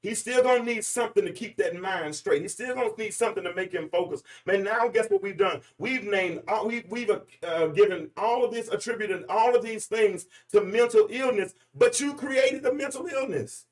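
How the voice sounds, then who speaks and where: A man's voice: sits high, moderate pace, few pauses, far from the mic. A man, hosting a freestyle commentary monologue in an emphatic, rambling, preachy way. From Arkansas, US. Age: 40s. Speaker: podcast host.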